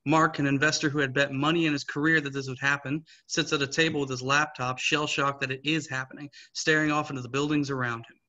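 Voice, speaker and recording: A young man reading scene directions in a plain, steady way over a video call. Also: medium pitch, fast talker, few pauses. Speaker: stage direction reader. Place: small home room. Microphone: webcam on a video call. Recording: slightly muffled webcam audio.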